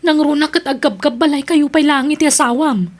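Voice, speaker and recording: An actress plays a disapproving Filipino best friend in an audio drama. Her voice is very high, and she speaks bluntly, admonishing with exasperation. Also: fairly quick, natural voice. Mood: scolding.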